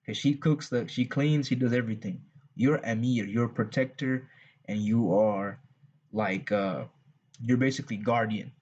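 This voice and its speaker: A man's voice: mid-range voice, a little mellow, slightly rough. A man with a heavy Texas accent giving loose, rambling religious advice to fathers, with many restarts and asides. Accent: Southern US, Texas. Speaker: religious channel host. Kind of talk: unscripted advice talk. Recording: dull lo-fi recording.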